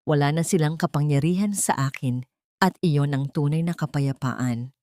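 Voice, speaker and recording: Serene woman attorney played by a female reader, Filipino accent, low pitch, composed, quietly firm, audio-story drama. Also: normal speed, no room sound, lightly acted. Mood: serene, resolute. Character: serene, dignified woman lawyer who has forgiven.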